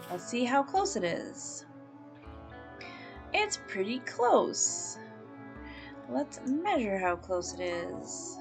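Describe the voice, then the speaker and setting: A middle-aged woman from Canada, a native English speaker, casually thinking aloud as she plays a game and narrates it. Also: medium pitch. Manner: chatty, thinks aloud, coos at baby animals. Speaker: let's play host. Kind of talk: casual gameplay commentary.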